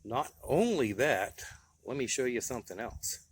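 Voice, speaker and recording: A man's voice: mid-range voice, animated, measured pace, slight background noise. An American man giving a casual, plain-spoken, folksy demonstration of his gear. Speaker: radio hobbyist presenting his gear.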